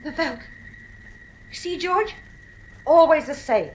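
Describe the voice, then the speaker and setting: A woman's voice: sits high, lively intonation, takes it slowly, a little hiss or hum. An actress playing an anxious, nervy mother in a radio drama, earnest and insistent with her husband. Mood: frightened.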